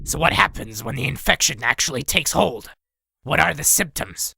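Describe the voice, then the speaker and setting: A man voicing a gruff, skeptical veteran robot doctor with a gravelly voice in an audio drama. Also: mid-range voice, lively intonation, normal speed, background noise. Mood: probing, grave.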